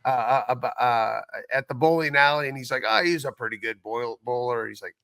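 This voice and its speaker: A man co-hosting a podcast, chatting casually and earnestly with halting, repetitive phrasing. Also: medium pitch, pushed and bright, dry recording. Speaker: podcast creator and co-host. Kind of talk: casual chat.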